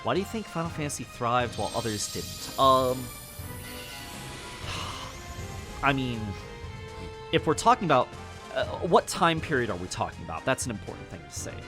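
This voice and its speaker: A man streaming a video game, chatting casually and swearing freely as he talks about it. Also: medium pitch. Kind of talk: casual let's-play commentary. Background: game music.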